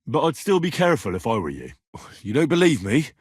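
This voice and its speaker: An actor playing an earnest, blunt, quick-tempered young medieval adventurer in video game dialogue, with a husky voice. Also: male voice, mid-range voice, no echo, studio quality. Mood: matter-of-fact. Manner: expressive, blunt, frequent swearing.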